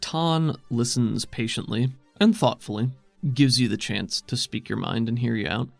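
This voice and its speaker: A man's voice: mid-range voice, normal speed, creaky, no room sound, faint music behind. A man acting as game master, narrating scenes and giving casual rulings in a tabletop roleplaying game.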